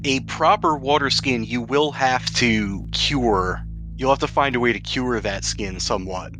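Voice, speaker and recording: A man's voice: medium pitch, a little slow, music in the background. A man casually explaining situations and options as game master of a tabletop game.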